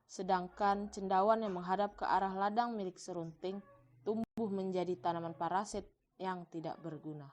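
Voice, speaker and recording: Female narrator, medium pitch, scripted storybook reading for an animated folk legend. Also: normal speed. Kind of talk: scripted folktale narration for a cartoon.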